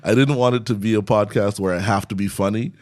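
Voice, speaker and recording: A man's voice: fairly low pitch, quick pace, darkish tone, no echo, studio quality. A young man, talking casually as an interview guest and often chuckling as he speaks. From Canada. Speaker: stand-up comedian, interview guest.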